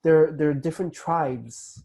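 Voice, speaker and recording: A man explaining cultural traditions in a hesitant way, pausing often with fillers and restarts. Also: on the high side, gravelly, dark tone, husky. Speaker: guest explaining Polynesian culture. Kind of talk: casual explanatory talk.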